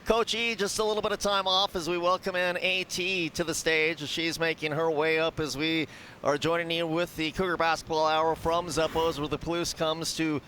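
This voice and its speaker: A man's voice: a little high, little pitch movement, moderate pace, bright, forceful tone, slight background noise. A man hosting a live radio interview from a restaurant, upbeat and chatty with frequent restarts. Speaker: radio show host. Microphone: headset.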